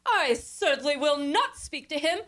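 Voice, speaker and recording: A woman plays an imperious, unforgiving wife from an old-time radio comedy. Her fairly high voice swoops widely as she gives haughty, bossy orders. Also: expressive, moderate pace, a bit bright. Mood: indignant.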